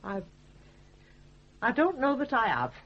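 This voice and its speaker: Sour, husky older mother. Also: a little high, wildly swooping pitch, unhurried, full character voice. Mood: sour, scornful. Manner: curt, scornful, grudging. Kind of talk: 1980s radio drama acting. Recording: dull lo-fi old radio recording.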